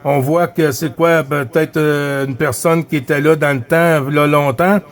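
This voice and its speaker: Male CB radio operator, Quebec French accent, fairly high-pitched, chatty and friendly, loops back on phrases and call codes. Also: steady tone, a little slow. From Quebec, Canada. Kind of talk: casual radio chat.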